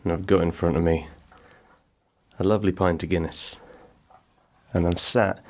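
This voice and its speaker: Low, gravelly, rambling podcast host. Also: male voice, fairly even intonation, moderate pace, darkish tone. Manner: rambling, chatty, self-deprecating. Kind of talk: casual chat. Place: small home-built pub in a garden outbuilding.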